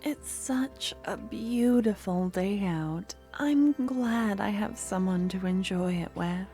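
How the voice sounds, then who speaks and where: A woman performs a sweet, possessive young woman merchant in an ASMR audio roleplay. Her voice is mellow and unhurried, soft and close. She often sinks into a hushed whisper. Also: mid-range voice, soft background music. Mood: doting. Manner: soft, intimate, slips into whispers.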